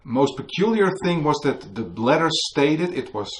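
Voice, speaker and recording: A man from the Netherlands with a Dutch accent, telling a story to an audience at a book talk and often pausing to search for English words. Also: a little high, very animated. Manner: hesitant, searching for English words, many fillers. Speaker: author giving a book talk. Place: museum hall with a live audience.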